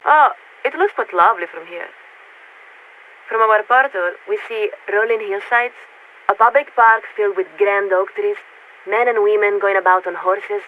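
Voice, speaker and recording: A woman playing a polite, detached warship officer in a video game, speaking formally and with measured hesitation through a radio filter. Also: medium pitch. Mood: polite, observant. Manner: formal, measured, hesitant when searching for words. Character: polite, detached female warship crew officer surveying a city. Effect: military radio transmission filter. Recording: tinny narrowband radio with hiss.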